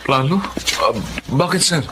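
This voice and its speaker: A rather high-voiced Filipino humble driver and father, polite and apologetic to his superiors. He stammers and hesitates in radio drama style, with clear noise behind him. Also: lively intonation, unhurried. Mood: worried, surprised. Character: humble anxious deferential male family driver, father of children. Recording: clear background noise and ambience.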